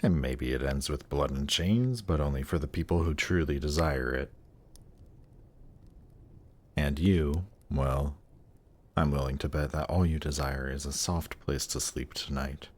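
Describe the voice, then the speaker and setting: A man playing a suave, patient, seductive demon prince in a fantasy roleplay audio drama, speaking in a teasing, coaxing, measured way. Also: rumbling low. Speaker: voice actor. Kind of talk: slow, intimate roleplay audio drama performance.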